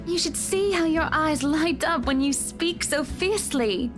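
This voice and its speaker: A high-voiced young lady of means speaks politely with a curious, gently teasing manner. It is visual novel game acting, with music playing behind her. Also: lively intonation, unhurried. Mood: gentle, admiring.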